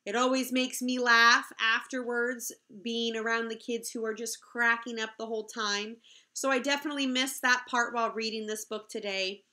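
A woman's voice: on the high side, level tone, moderate pace, sharp tone. A woman in her thirties, a teacher casually addressing young pupils and their families from a room at home. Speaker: kindergarten teacher. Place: small room at home, indoors.

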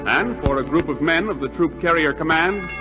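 American man, 1940s radio announcer, fairly high voice, declamatory, solemn, loud music behind. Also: lively intonation, moderate pace, highs cut off. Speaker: announcer and narrator. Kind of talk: 1940s radio dramatic scripted narration.

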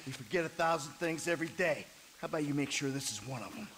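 A man's voice: on the high side, expressive, fast talker, fairly bright tone, a little hiss or hum. An actor playing a cool-headed, commanding male heist leader with a dry manner in a video game cutscene. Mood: calm.

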